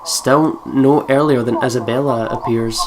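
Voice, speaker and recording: A woman's voice: rumbling low, fairly even intonation, normal speed, background noise. Chatty Scottish woman playing a game. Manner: chatty, swears freely, laughs often. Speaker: let's play host. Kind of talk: casual gameplay commentary and reading aloud.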